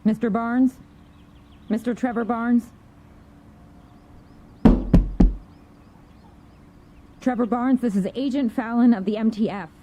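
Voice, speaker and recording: A woman's voice: fairly high pitch, steady tone, moderate pace, warm tone, slight background noise, natural voice. A woman playing a pushy, cryptic government agent in an audio drama, commanding, clipped and probing. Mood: commanding, threatening. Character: pushy, cryptic female government agent interrogating through a door. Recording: muffled, dull sound.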